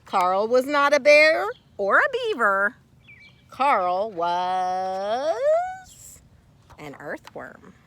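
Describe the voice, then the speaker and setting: A woman reading a children's story aloud expressively, with theatrical, deliberate phrasing and dramatic pauses. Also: mid-range voice, very animated, fairly bright tone, a little hiss or hum. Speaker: storybook reader.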